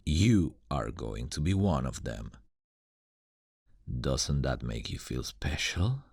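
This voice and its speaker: An ancient demon guardian for an ASMR roleplay. His voice is extremely deep and crackles with gravel. He is suave, sardonic and condescending, and his intonation rises and dips theatrically as he teases. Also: sing-song, a little mellow, no room sound, studio quality, full character voice. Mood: teasing, smug. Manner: slow, deliberate, dramatic, condescending toward humans.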